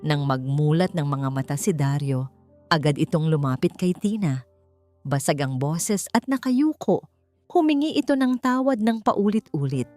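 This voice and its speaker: A woman with a Filipino accent narrating a scripted dramatic story in a solemn, emphatic, deliberate way. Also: low-pitched, lively intonation, dark tone, no room sound. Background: background music.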